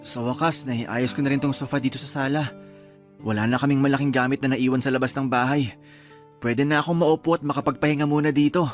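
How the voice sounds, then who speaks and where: A man's voice: mid-range voice, fairly even intonation, quick pace, faint music behind, natural voice. A man playing a hardworking, loving young husband in a Filipino radio drama, affectionate and easygoing. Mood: tired, relieved.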